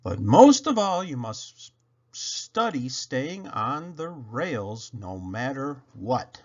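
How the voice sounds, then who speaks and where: A man's voice: mid-range voice, very animated, slow, a little mellow, highs cut off, exaggerated voice. A man voicing an old engineer schoolmaster in a children's storybook, encouraging but firm, with emphatic instruction. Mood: instructive. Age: older. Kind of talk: children's storybook character reading.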